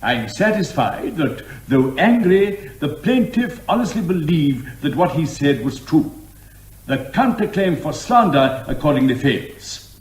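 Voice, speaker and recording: Dry English judge, swooping delivery. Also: male voice, on the high side, very animated. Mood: scathing, deliberate. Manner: deliberate, formal, dry, curt. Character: brisk, impatient, dryly scathing male judge. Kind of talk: British comic radio drama acting. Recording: roomy, echoing courtroom-like sound.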